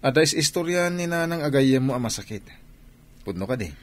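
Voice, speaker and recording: A man's voice: medium pitch, lively intonation, brisk, natural voice. A man playing an earnest, protective elder brother in a Philippine radio drama. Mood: caring, earnest.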